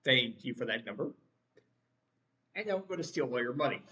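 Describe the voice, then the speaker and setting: A woman's voice: rumbling low, expressive, fairly quick. A woman voicing an arrogant, scornful elderly male prosecutor who talks down to everyone. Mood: dismissive. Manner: haughty, sneering, dismissive. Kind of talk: voicing game text aloud. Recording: game music behind voice. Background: loud game music.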